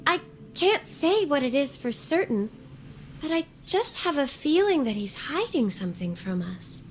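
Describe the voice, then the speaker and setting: An actress playing an earnest, gentle, scholarly young woman in a video game, soft-spoken, thoughtful and measured. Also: high voice, very animated, soft background music. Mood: earnest, concerned. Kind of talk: scripted video game voice acting.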